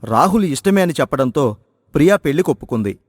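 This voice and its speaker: A man's voice: a little high, expressive, fast talker, dry recording. Man narrating a story, dry close sound.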